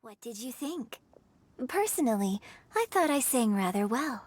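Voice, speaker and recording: An actress playing a graceful young opera singer in a game, speaking with refined, gentle confidence. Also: female voice, a little high, very animated, a little slow. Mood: warm. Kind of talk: game voice acting.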